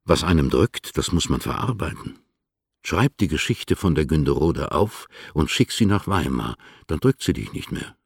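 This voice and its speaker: A grave older poet in a radio drama. His extremely low voice crackles with gravel as he speaks in solemn, lyrical phrases, very close and dry. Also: very animated, normal speed, no room sound, exaggerated voice. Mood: grave, counselling. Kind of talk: German radio play acting.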